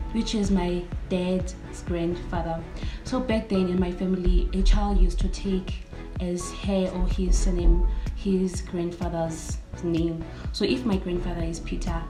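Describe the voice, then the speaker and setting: Casting: South African woman, 19, casual vlogger, slightly low even voice, roomy sound. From South Africa, Cape Town. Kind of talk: casual get-to-know-me vlog chat. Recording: echoey room, music underneath. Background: background music.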